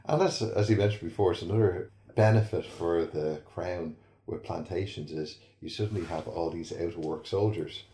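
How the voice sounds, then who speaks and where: A man hosting a podcast, asking the guest measured questions in casual conversation. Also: low-pitched, warm tone, reverberant. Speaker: podcast host.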